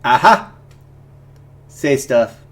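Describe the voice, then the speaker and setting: A man's voice: medium pitch, expressive, very slow, fairly bright tone. A man hosting a film watch-along stream, talking in a casual, jokey, informal way. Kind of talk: casual chat and film commentary.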